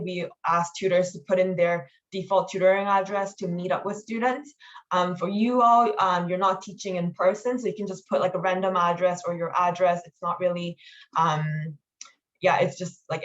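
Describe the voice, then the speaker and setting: A woman leading an online training meeting, explaining steps informally in a casual, halting way over lo-fi call audio. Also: fairly low pitch. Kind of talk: informal instructional walkthrough with slides.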